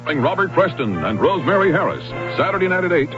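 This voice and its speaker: A man's voice: on the high side, big pitch swings, fast talker. Dramatic male promo announcer. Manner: dramatic, sweeping. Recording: dull old radio recording with music.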